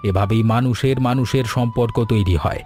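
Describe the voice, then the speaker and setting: A man voicing a kindly, patient old grandfather who runs a food shop, gentle and earnest, in a cartoon story. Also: medium pitch, fairly quick, faint music behind, lightly acted. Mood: kindly, grateful. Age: older.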